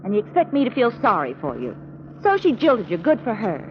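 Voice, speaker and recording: An actress playing a tart, teasing, jealous sweetheart in a 1940s radio drama. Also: female voice, mid-range voice, wildly swooping pitch, a little fast. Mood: mocking. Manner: tart, bantering. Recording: noisy, muffled vintage radio recording.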